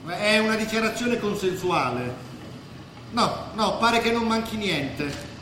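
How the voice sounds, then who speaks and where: An actor playing a cautious, sly, nostalgic refugee lawyer, speaking in measured theatrical oratory in a stage drama. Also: male voice, high voice, lively intonation. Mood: businesslike. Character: displaced lawyer from Pola, nostalgic, cautious, slyly calculating. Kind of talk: staged radio drama acting. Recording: roomy echoing stage, faint noise.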